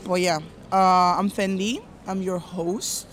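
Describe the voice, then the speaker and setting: A woman's voice: on the low side, fairly even intonation, takes it slowly, a little hiss or hum. A woman in her twenties hosting a casual podcast in a park, hesitant and full of fillers. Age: 20s. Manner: hesitant, lots of fillers and restarts. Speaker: podcast host. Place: outdoors in a park.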